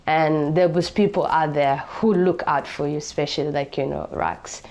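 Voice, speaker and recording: A woman's voice: sits low, steady tone, normal speed, darkish tone. A young woman from Somalia telling her own story in an interview, reflective, with lots of "you know" fillers. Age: young adult. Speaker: interviewee, refugee.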